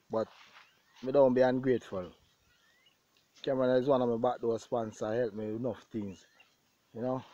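A man's voice: mid-range voice, highs cut off. A man with a Jamaican accent talking casually to viewers as a farmer vlogging outdoors, repeating phrases for emphasis. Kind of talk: casual vlog talk to viewers. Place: outdoors in an open grassy pasture.

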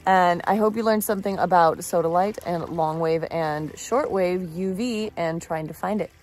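Low-pitched woman chatting enthusiastically outdoors. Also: moderate pace, a little mellow. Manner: enthusiastic, informal, exclamatory. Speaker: vlogger and rock-hunting host. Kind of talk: casual outdoor vlog chat. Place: outdoors on a rocky shore.

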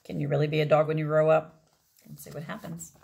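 A middle-aged woman reading a children's picture book aloud expressively, with deliberate phrasing and emphatic pauses. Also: sits low, flat delivery, gravelly, a little mellow. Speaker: read-aloud storyteller.

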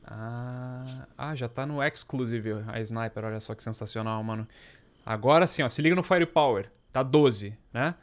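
A man's voice: mid-range voice, moderate pace. A man, giving casual, slangy, sweary live gameplay commentary as a streamer. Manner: slangy, sweary, excitable banter. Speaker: game streamer. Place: small indoor room.

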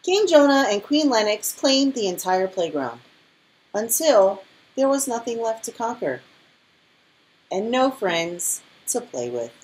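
Woman reading a storybook, highly animated. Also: a little slow, a little hiss or hum, low-quality recording. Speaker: storybook reader. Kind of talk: expressive children's read-aloud.

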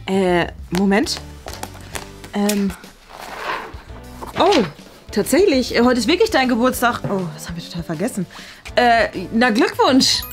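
A woman's voice: a little high, big pitch swings, unhurried, long pauses. Woman as a hesitant, awkward schoolgirl. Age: young adult. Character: reluctant, easily bribed schoolgirl with scruples. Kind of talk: scripted classroom comedy sketch. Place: small bright classroom, indoors. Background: faint music.

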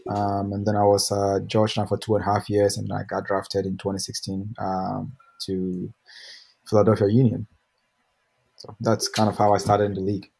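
A young man from Ghana, a pro soccer player, telling his story in a relaxed, rambling way as a podcast guest over a video call. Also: on the low side, moderate pace, creaky, slightly rough. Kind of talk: casual interview answers.

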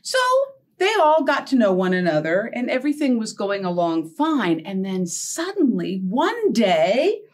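Children's storyteller: middle-aged American woman, very lively swooping intonation, unhurried delivery for little kids. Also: mid-range voice. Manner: animated, encouraging, addresses young children with questions. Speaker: children's librarian leading storytime. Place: indoor storytime set with backdrop and felt board.

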